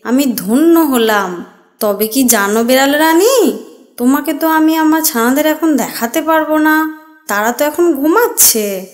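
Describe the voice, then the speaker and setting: A woman voicing a small, protective mother bird in a children's cartoon story, with a sing-song, drawn-out delivery. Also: high voice, lively intonation. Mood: flattering, polite. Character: small protective mother tailorbird, sweet-talking and defiant. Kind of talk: children's cartoon story dialogue in rhyme and speech.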